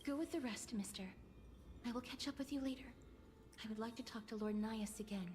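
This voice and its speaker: A woman playing a gentle, solemn young woman in a video game, speaking formally in a soft, breathy, tender voice. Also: fairly high pitch, a little fast, a little hiss or hum, natural voice. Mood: solemn pleading. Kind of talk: scripted video game voice acting. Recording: game music over the voice.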